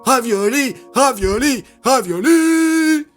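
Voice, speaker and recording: A man voicing a loud, childlike, manic madman who repeats himself in an audio story. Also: animated, slow pace, soft background music, full character voice. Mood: manic, excited. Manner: shrill, loud, repetitive.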